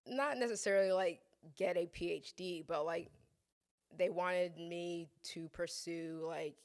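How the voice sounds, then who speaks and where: A young woman, born in Ghana and raised in the American South, talks as a podcast guest at a studio mic. Her voice carries a gravelly fry, and she keeps things loose and playful. She laughs easily as she chats. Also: on the low side, fairly even intonation, unhurried, darkish tone. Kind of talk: casual conversation.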